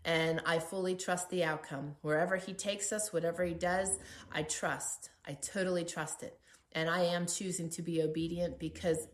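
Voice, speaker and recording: A woman hosting a faith podcast, speaking in an earnest, emphatic way with deliberate repetition as she gives personal testimony and encouragement. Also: lowish voice, fairly even intonation. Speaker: podcast host. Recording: roomy, echoey sound.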